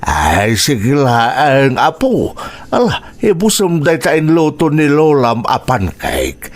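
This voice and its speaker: The easygoing old grandpa of an Ilocano radio serial, lingering at the family table with long drawn-out exclamations. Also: male voice, on the high side, huge pitch swings, exaggerated voice. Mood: fond. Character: older grandfather, doting and easygoing. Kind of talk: radio drama acting.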